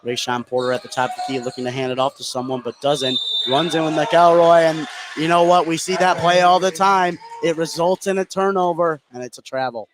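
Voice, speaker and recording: A man's voice: a little high, fairly even intonation, fairly quick, hardly any pauses. An American man doing live play-by-play commentary on a basketball game. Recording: clear gym noise behind voice. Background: sports venue ambience.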